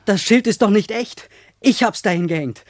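An actor playing a small, proud schoolboy prankster in a German radio play, eager and boastful. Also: male voice, high voice, normal speed, dry recording, clean studio sound, natural voice. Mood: excited, proud. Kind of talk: German radio drama acting.

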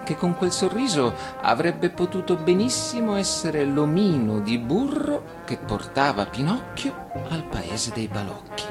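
Unhurried, animated male storyteller. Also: mid-range voice. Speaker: actor reading fairy tales. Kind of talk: expressive scripted storytelling.

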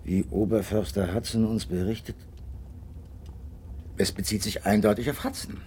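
An actor playing a detective's loyal friend in a radio drama, earnest, puzzled and deliberate. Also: male voice, lowish voice, steady tone, a little mellow, slight background noise, close to an everyday voice. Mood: thoughtful, puzzled.

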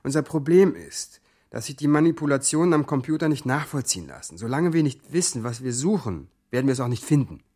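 A man's voice: mid-range voice, lively intonation, brisk, a little mellow, close to an everyday voice. An actor playing a brash young male hacker with a cocky, colloquial manner in a radio play. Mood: frustrated. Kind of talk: naturalistic radio play acting.

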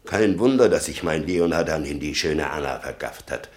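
An actor playing an embittered old father in a German radio drama, gravelly, muttering and brooding with sudden outbursts. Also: rumbling low, animated, moderate pace. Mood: brooding, regretful. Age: older. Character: embittered old father obsessed with his convicted son.

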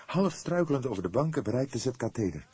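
A man reads a detective novel as a dramatic, measured and suspenseful audiobook narration. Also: mid-range voice, sing-song, mellow, slightly rough, dry recording.

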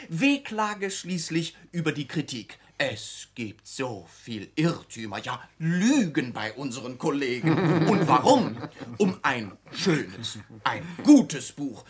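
An actor playing a worldly, cynical journalist who lectures a younger colleague in a mocking, emphatic radio drama manner. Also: male voice, a little high, fairly bright tone, exaggerated voice.

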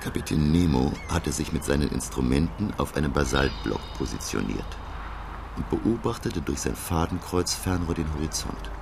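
Radio drama narrator: observant professor, measured storytelling, rumbling bass with creak, loud effects underneath. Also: male voice, animated, background noise, exaggerated voice. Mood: earnest, absorbed. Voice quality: gravelly. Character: male professor recounting his sea voyage, earnest, observant. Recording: loud sound effects behind the voice.

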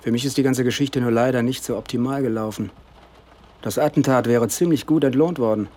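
A man's voice: medium pitch, brisk, warm tone, natural voice. An actor playing a cynical hired spy and hitman in a satirical radio play, speaking in a dry, matter-of-fact way.

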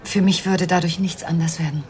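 An actress playing an elegant, worried wife in a radio crime drama, composed and quietly pleading in a measured, refined manner. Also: female voice, fairly low pitch, steady tone, natural voice. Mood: quiet, determined.

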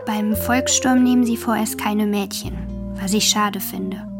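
Slow, wistful young girl over guitar. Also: mid-range voice, fairly even intonation, creaky. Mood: wistful, frank. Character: frank, wistful young girl reading her letter to her brother. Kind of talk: radio drama letter reading. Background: plucked guitar music.

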